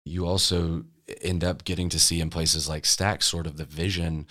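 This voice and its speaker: A man's voice: deep voice, flat delivery, moderate pace, sharp tone. A man talking as a tour guide and music historian, telling stories conversationally in an interview.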